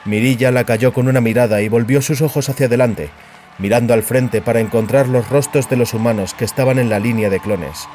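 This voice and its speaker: A man's voice: mid-range voice, mellow. A man narrating a science fiction audiobook in a scripted, dramatic storytelling style.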